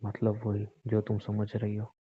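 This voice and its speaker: A man's voice: fairly low pitch, completely flat delivery, unhurried, breathy edge. A man playing a distraught, bitter husband who pleads and threatens in an emotional audio drama. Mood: menacing. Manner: repetitive, brooding, pleading and threatening. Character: distraught, menacing husband, bitter and self-pitying. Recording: muffled phone-like narrowband audio.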